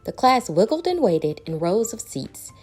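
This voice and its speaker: Animated woman reading a children's storybook. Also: medium pitch, measured pace, breathy edge, faint music behind. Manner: animated, dramatic, varied emphasis. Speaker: children's book reader. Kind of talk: expressive storybook read-aloud.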